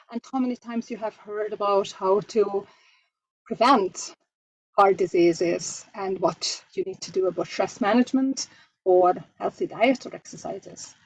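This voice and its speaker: A woman's voice: fairly high pitch, darkish tone, lo-fi sound, slight background noise. A woman from Hungary with a Hungarian accent, giving an earnest online masterclass presentation from slides and putting questions to her audience. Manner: earnest, asks the audience questions, restarts phrases.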